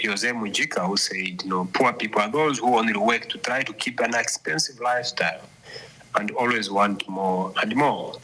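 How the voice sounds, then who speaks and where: A man speaking as a guest commentator over the phone in a live interview, earnest and reflective, halting with frequent restarts. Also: mid-range voice, sharp tone, slight background noise, low-quality recording. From Zambia.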